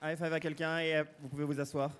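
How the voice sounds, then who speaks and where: A man interpreting a pastor's words phrase by phrase during a live church service, echoing each line quickly and with energy. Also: on the high side, clean tone. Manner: echoes each phrase quickly, lively and loud.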